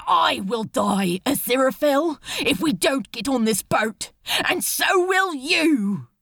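A woman plays a male demon in a dramatic story reading, defiant and fiercely devoted. The delivery is urgent and pleading, very animated in pitch, with a close, dry sound. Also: slow pace, vocal fry, no room sound, heavily acted. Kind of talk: dramatic fan fiction audiobook dialogue.

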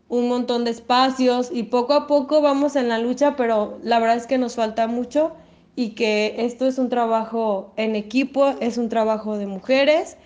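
A woman's voice: on the high side, darkish tone, dull audio. A woman with a Mexican accent giving her opinion as a guest, in a chatty way with restarts. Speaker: guest speaker. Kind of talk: casual opinion piece.

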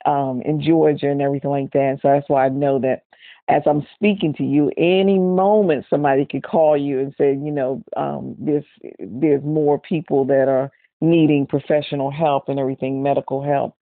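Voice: medium pitch, fairly even intonation, normal speed, dry recording. A podcast host talks loosely in a casual interview, leaning on filler words as the thoughts come. The sound is dry and thin, like a phone call. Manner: chatty, heavy um fillers, frequent "everything like that".

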